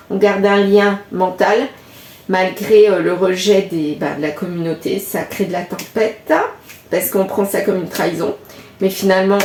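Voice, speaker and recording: A woman's voice: medium pitch, a little mellow. A woman, giving a chatty card reading with lots of rambling self-corrections. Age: 50s. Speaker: card reader. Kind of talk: casual tarot reading monologue. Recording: echoey room.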